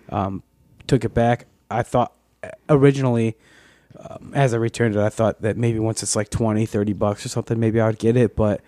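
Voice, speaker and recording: A man's voice: mid-range voice, dark tone, dry recording, clean studio sound. A man co-hosting a casual gaming podcast, rambling with lots of swearing and restarts. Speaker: podcast co-host. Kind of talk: casual chat.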